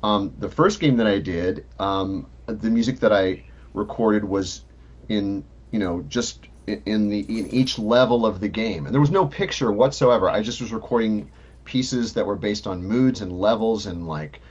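A man, a composer guest, explaining his work in a relaxed interview manner. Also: fairly low pitch, moderate pace, husky, highs cut off. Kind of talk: casual interview talk.

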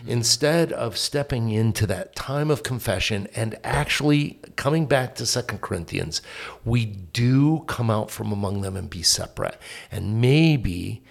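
A man with a low, gravelly voice speaks reflectively on a casual faith podcast. He tells a story and pauses on hesitant fillers as he searches for his words. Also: animated.

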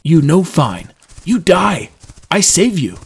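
A man's voice: sits high, lively intonation, normal speed, mellow, slightly rough, pitched above the performer's own voice, exaggerated voice. A man voicing a stern, impatient warrior rider in an audiobook, blunt and commanding in clipped, broken speech. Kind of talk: audiobook character voice.

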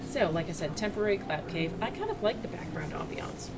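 A woman's voice: on the low side, expressive, fairly quick, very noisy. A woman co-hosting a podcast in a restaurant, with a slight cold, chatting casually and teasing her co-host about his wording. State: has a cold, a little scratchy. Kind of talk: casual chat. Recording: podcast recorded in a restaurant with loud music behind.

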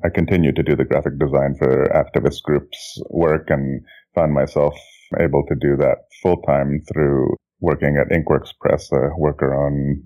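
A middle-aged man from Indonesia, a guest telling his life story in a relaxed, reflective way, often restarting his sentences and chuckling. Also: very deep voice, moderate pace. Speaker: guest, children's book author and graphic designer. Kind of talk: relaxed interview conversation. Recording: dull lo-fi audio.

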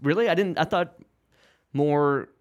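A man co-hosting a podcast, talking loudly and directly in casual chat. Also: a little high, measured pace, highs cut off. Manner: loud, outgoing, direct.

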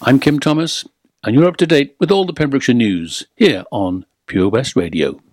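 A man's voice: medium pitch, moderate pace, a little mellow, no room sound. A man reading a scripted local radio news bulletin. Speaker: radio newsreader. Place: radio studio.

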